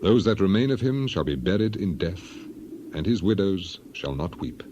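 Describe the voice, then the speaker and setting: A man acting as a solemn, suffering man of ancient scripture speaks in a low voice that rises and falls dramatically. His phrasing is grave, measured and declamatory, as in an audio Bible drama. There is clear background noise, with ambience and birds. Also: very animated, warm tone, highs cut off. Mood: grave, foreboding. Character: Job, solemn suffering man of the Old Testament. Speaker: Bible narrator and actor. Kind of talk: dramatized King James Bible reading.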